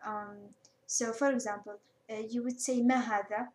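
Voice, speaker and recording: A woman's voice: a little high, fairly even intonation, normal speed. A woman who is a native Arabic speaker, teaching a language lesson in a casual conversational way. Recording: echoey room, distant mic, faint noise.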